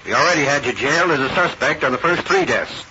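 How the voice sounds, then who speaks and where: An actor playing a gruff, excitable man of the Old West in a radio drama, blustery and emphatic. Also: a little high, quick pace, lightly acted. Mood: stern, suspicious. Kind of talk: 1930s-40s radio western acting. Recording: noisy dull old radio broadcast recording.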